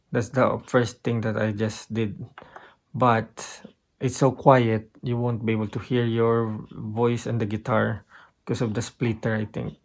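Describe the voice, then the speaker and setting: A man with a Filipino accent giving a casual, unscripted guitar gear demonstration, haltingly thinking aloud as he goes. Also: mid-range voice, gravelly. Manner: halting, thinking aloud while pointing out settings.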